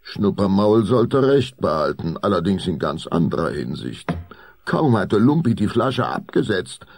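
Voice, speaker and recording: A man narrating a spooky children's radio play in a deliberate, expressive storytelling manner. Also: low-pitched, vocal fry, breathy edge, dry recording, studio quality, heavily acted. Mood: measured, suspenseful.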